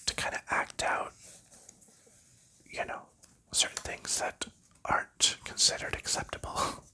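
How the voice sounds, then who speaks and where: A man chatting softly in a whisper for an ASMR video, rambling and chuckling lightly now and then. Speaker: ASMR creator.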